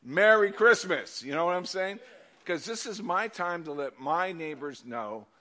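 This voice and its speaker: Older male pastor, loud and animated, bright forceful tone, slightly high voice. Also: a little slow. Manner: animated, loud storytelling with asides. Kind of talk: sermon.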